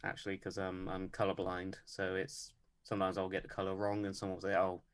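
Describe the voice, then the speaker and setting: A man guesting on a podcast, talking about his animation work in a deadpan, measured way with many pauses and ums. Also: deep voice, clean tone. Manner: measured, lots of ums and hedges. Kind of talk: casual interview chat.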